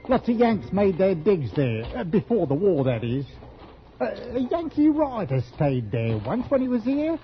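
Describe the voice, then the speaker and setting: London working-class local, Cockney, matter-of-fact know-it-all, high lively voice, muffled 1940s radio sound. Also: male voice, moderate pace, a little hiss or hum. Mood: chatty, informative.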